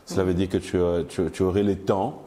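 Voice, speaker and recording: Homme grave à la voix sombre qui taquine. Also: male voice, low voice, steady tone, a little fast, mellow. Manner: playful, joking, laughs often. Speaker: interview host. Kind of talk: teasing casual interview.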